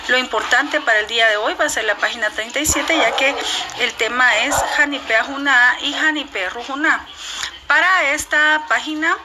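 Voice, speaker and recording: A woman teacher, giving a recorded class lesson in a clear, instructive way and repeating key words slowly. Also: high voice, background noise.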